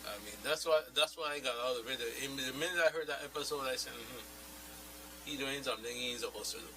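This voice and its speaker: Guest: male, Caribbean accent, mid-range voice, opinionated and informal, with clear background hiss. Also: medium pitch, brisk. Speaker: radio discussion guest. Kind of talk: casual chat. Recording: clear hiss and hum, slightly distant.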